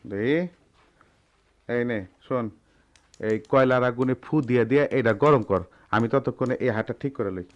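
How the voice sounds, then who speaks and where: A man's voice: mid-range voice, moderate pace, long pauses, dull audio, natural voice. Man playing a hesitant, humble village father. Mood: brisk. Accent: rural Bangladeshi. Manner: hesitant, deferential. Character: humble middle-aged goldsmith, worried father of a bride. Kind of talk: Bengali radio play acting.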